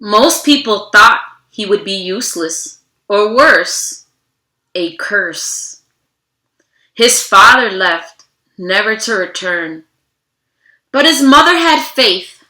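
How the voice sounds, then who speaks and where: A woman teacher doing a children's read-aloud in an expressive, theatrical way, speaking directly to her young listeners. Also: medium pitch, slow, pauses often. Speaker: teacher reading aloud to students. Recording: roomy, echoing room sound.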